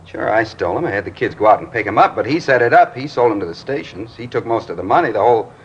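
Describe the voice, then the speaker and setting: An actor playing a blunt, resentful garage worker and petty thief in an American crime drama. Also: male voice, on the low side, animated, fast talker, natural voice. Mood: bitter, accusing. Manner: blunt, combative. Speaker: actor in a police radio drama. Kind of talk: mid-century American crime drama acting. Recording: dull vintage broadcast, faint noise.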